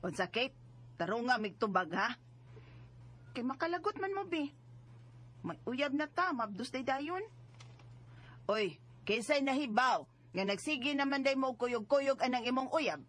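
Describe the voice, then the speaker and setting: A woman's voice: high-pitched, expressive, measured pace, pauses often, a bit bright, exaggerated voice. A woman playing a worried, stern middle-aged mother in a radio drama, scolding and exclamatory, with a Cebuano Filipino accent. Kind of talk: Bisaya radio drama acting.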